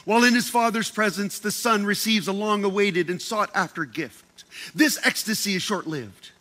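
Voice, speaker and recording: Playful, joking man with a high, bright voice. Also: moderate pace. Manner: playful, joking, often repeats and restarts words. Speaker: speaker addressing a group. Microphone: handheld microphone.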